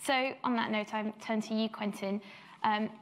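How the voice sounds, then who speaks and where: A woman's voice: mid-range voice, steady tone, normal speed. A woman from Belgium chairing a policy panel discussion, talking with many hesitant fillers.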